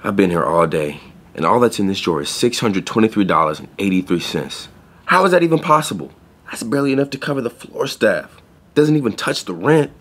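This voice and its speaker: An actor playing an earnest, deadpan young pet store clerk in a podcast play. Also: male voice, fairly low pitch, a little fast, slightly rough. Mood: earnest, reassuring. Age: young adult.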